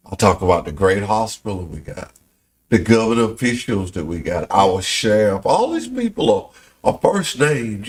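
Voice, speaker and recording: A middle-aged man from Pensacola hosting a local talk show, telling folksy stories in casual Southern speech. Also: lowish voice. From Pensacola, Florida.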